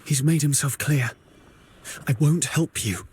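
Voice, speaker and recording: An actor playing an earnest, defiant young video game hero with a blunt, direct way of speaking. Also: male voice, fairly high pitch, unhurried, darkish tone, slight background noise, close to an everyday voice. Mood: defiant, upset. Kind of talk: scripted video game voice acting.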